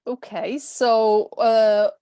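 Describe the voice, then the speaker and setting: A woman's voice: on the high side, fairly even intonation, drawn-out words, mellow, clean tone, dry recording. A woman in her forties from Italy with an Italian accent, a podcast guest telling her story with many fillers and restarts. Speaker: guest, personal stylist. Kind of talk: podcast conversation.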